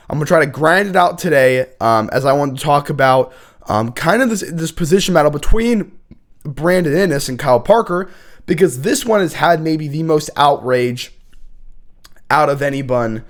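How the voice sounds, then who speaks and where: Young man, hoarse, sick, sports talk host, rambling, clears throat often, mid pitch. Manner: rambling, many fillers and restarts, clearing throat. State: sick, hoarse, losing his voice. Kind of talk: casual sports commentary.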